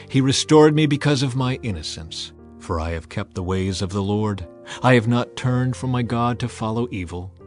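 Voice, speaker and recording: A man reads scripture as a scripted, dramatized narration, in a solemn, measured and emphatic manner. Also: medium pitch, darkish tone. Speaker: audio Bible narrator. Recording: music bed under narration. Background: background music.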